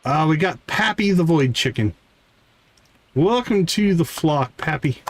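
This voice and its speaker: A man's voice: on the high side, lively intonation, unhurried. Man casually narrating gameplay, noisy background. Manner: informal, thinking aloud. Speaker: game streamer, host. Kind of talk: casual live gameplay commentary, explaining as they play.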